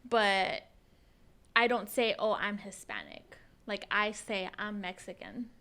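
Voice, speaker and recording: A woman's voice: medium pitch, big pitch swings, slow pace, pushed and bright. An American woman co-hosting a podcast in a casual, informal chat. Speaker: podcast co-host.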